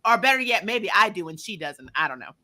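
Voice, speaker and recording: A woman's voice: mid-range voice, very animated, fast, sharp tone. A woman co-hosting a casual review chat, animatedly retelling things and acting out quotes. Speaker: review show co-host.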